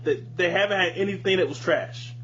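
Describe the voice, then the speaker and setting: Man, podcast host, slightly high voice, slangy opinionated casual chat, swearing, dull lo-fi sound with faint hum. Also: a little fast, a bit bright. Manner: profane, informal slang. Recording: muffled lo-fi audio with hum, hiss.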